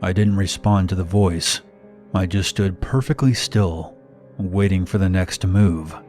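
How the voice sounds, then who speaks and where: Male horror narrator, lowish voice, scripted first-person storytelling, music underneath. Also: fairly even intonation, unhurried. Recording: music bed behind narration. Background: background music.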